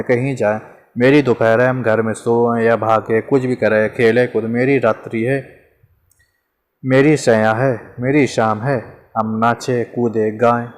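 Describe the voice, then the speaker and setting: Male teacher, mid pitch, chatty lesson delivery with rhetorical questions and lots of repetition. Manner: conversational, repeats examples, asks and answers himself. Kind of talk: informal grammar lesson.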